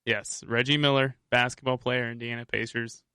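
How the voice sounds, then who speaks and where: A man's voice: medium pitch, little pitch movement, normal speed, pushed and bright, clear, resonant tone, dry recording, clean studio sound. A man sitting in as backup co-host, joking casually and laughing between lines. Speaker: guest co-host. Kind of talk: casual chat.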